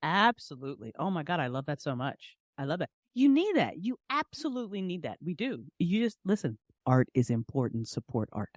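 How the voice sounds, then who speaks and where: A woman's voice: deep voice, very animated, a little fast, hardly any pauses, dry recording. Effusive woman chatting in a dry-sounding space. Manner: effusive, emphatic, peppers talk with slang and repetition. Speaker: guest, fiction podcast writer and creator. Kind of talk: animated casual interview chat.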